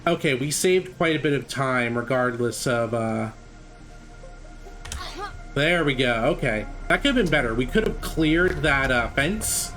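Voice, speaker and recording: Casual male gamer commentating over loud music. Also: mid-range voice, lively intonation, a little slow. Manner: casual, self-critical. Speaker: speedrunner and commentator. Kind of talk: live gameplay commentary. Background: game music.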